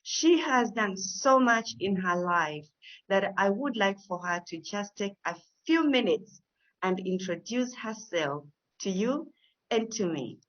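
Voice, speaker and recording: A woman hosting an interview show, opening with a formal, warm welcome and an introduction of her guest. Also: mid-range voice, expressive, slow pace, highs cut off. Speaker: show host.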